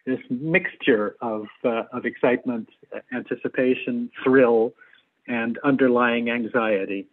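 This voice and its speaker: Middle-aged guy being interviewed, husky voice, kinda careful and halting, medium pitch. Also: phone-quality sound. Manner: hesitant, measured, repeats words while choosing them. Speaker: theatre adapter and actor, guest. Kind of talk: interview conversation.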